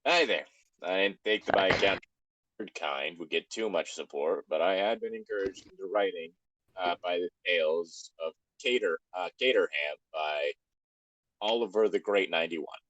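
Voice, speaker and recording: A man's voice: low-pitched, normal speed, a bit bright, rough recording. A man co-hosting a show, reading a story aloud and chatting casually about it. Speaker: co-host reading online stories aloud.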